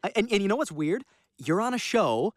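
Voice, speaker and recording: A man voicing a smug, self-absorbed adult talking dog in an animated sitcom, with a wry, sometimes hesitant manner. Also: sits high, brisk, no echo, clean studio sound. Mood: self-important. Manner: wry, hesitant when cornered.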